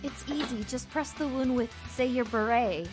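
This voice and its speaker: High-voiced, defiant young woman played by an actress. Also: normal speed, music in the background, natural voice. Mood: brisk. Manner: matter-of-fact, challenging. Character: bold, sharp, brisk young woman, defiant. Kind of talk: visual novel voice acting.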